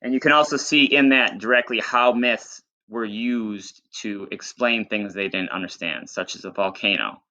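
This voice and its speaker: A man's voice: fairly low pitch, sharp tone. A man reading aloud as a teacher, mixing storybook narration with plain, informal explanatory asides. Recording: dull lo-fi recording.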